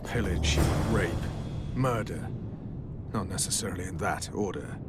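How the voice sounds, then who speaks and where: An actor playing a hardened, grimly loyal soldier in a fantasy video game, blunt and sardonic. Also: male voice, low-pitched, measured pace, loud music behind the voice.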